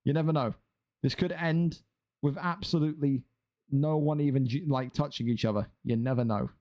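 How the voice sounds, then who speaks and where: A man's voice: fairly high pitch, moderate pace, warm tone. A man giving live, chatty commentary on an online boxing league, building up the fighters and bantering with viewers. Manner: chatty, hype-building, teasing asides to chat.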